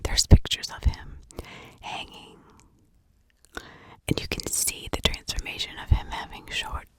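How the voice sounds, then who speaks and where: Whispering woman, slow ASMR storyteller. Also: a bit bright, no echo. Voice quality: breathy, unvoiced whisper. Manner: slow, halting delivery in short broken phrases with pauses. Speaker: ASMR creator and true crime narrator. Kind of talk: ASMR true crime storytelling.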